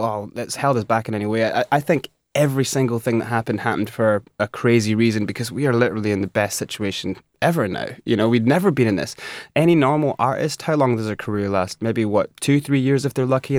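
A man's voice: medium pitch, no echo. Male guest, emphatic interview talk, dry booth. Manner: animated, emphatic, restarts often. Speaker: musician guest. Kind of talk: interview conversation.

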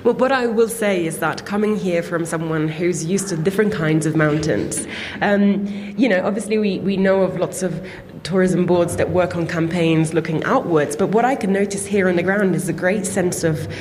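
Low-pitched woman, fluent, reverberant hall. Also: normal speed, darkish tone. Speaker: panel guest. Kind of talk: live panel discussion. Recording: long reverb of a hotel hall with faint noise.

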